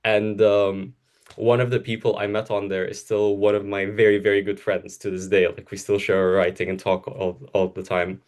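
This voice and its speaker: Laughing young man, low voice. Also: little pitch movement, moderate pace, a little mellow. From Egypt. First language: Arabic. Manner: laughs often, rambling with restarts. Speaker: co-host. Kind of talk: casual conversation.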